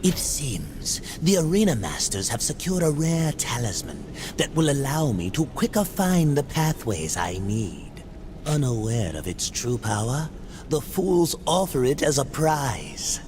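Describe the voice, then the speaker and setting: An actor playing a cunning, greedy demon merchant in a video game, with an oily, theatrical, drawn-out delivery. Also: male voice, mid-range voice, very animated, background noise. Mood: scheming. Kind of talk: dramatic video game cutscene acting.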